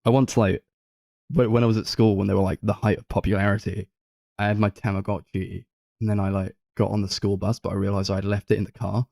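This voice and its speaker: Young man, lowish relaxed voice, dry recording, hesitant, casual film podcast chat. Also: a little fast, creaky, dry recording.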